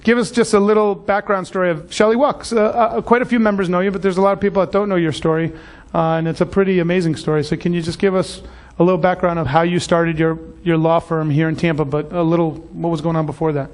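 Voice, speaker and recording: A man's voice: sits high, little pitch movement, fast. A man hosting a business meeting, introducing a guest enthusiastically with many filler words. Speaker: event host.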